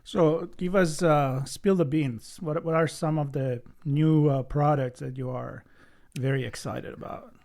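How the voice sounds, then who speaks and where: A male podcast co-host with a fairly high voice asks questions in a casual, playful way. He keeps the chat light and joking. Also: steady tone, unhurried, creaky, a little mellow.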